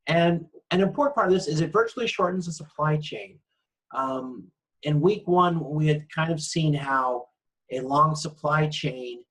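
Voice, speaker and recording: A man with a slightly high-pitched voice gives an informal lecture. He explains in a conversational manner, with some halting phrasing as he goes. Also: fairly bright tone, low-quality recording.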